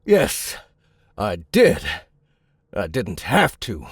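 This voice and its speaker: A low, gravelly voice with wide swings in pitch belongs to a big, hardened vigilante. He speaks roughly and weightily, bitter and defiant, in a dramatised audiobook with a dry, close sound. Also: huge pitch swings, drawn-out words, no room sound, exaggerated voice. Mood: wry, indignant. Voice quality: gravelly, raspy.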